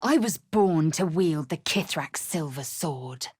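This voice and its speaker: An actress playing a fierce, haughty young female alien warrior in a video game, with a raspy voice and clipped, imperious, disdainful delivery. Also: low voice, animated, slow, darkish tone, full character voice. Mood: resolute, curt. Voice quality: raspy, husky. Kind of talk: video game character acting.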